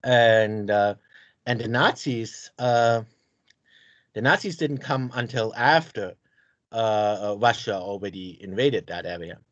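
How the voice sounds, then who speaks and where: A man chatting on a video call, halting and stammering with repeated words. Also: fairly low pitch, a bit bright, dry recording, dull audio. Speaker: conversation partner. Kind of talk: casual video call chat.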